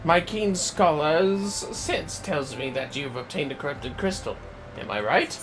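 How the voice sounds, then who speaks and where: A man's voice: fairly high pitch, lively intonation, measured pace, music in the background. A man voicing a fussy, boastful scholar in a video game, with pompous, wordy, theatrical delivery. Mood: pompous, pondering. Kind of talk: reading game dialogue aloud in character.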